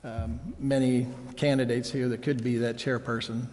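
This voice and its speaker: A man speaking as a city council member at a public meeting, in a halting, folksy, hedging manner. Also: medium pitch, level tone, a little mellow. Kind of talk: informal remarks at a public meeting. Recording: echoey council chamber, long reverb.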